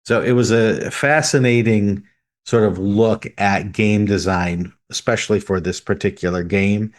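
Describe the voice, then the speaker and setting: A man with a fairly low voice co-hosts a podcast. He relays news in a conversational style, explaining each item as he goes. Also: fairly even intonation, unhurried. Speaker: podcast co-host.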